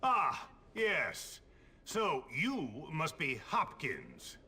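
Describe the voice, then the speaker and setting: An actor playing a pompous, stern middle-aged headmaster in a video game, deliberate and scolding. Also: male voice, a little high, wildly swooping pitch, pushed and bright, slight background noise, exaggerated voice. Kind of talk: video game cutscene acting.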